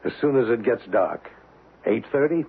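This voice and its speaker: An actor playing a cocky American blackmailer in a radio drama, brash, terse and calculating. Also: male voice, mid-range voice, expressive, moderate pace, creaky, slightly rough, exaggerated voice. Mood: businesslike, demanding. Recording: muffled phone-like old radio recording.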